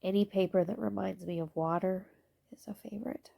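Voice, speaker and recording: A woman with a stuffy, congested voice narrating her scrapbooking process video, explaining her supplies in a relaxed way with occasional ums. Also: medium pitch, a little fast, gravelly. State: stuffy, congested from allergy shots.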